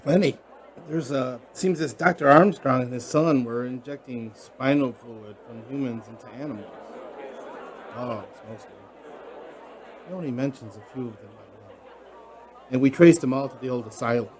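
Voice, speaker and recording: A man's voice: medium pitch, normal speed, some chatter behind, slight background noise, natural voice. An actor playing a matter-of-fact deputy giving his report in a radio drama. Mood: reporting, incredulous.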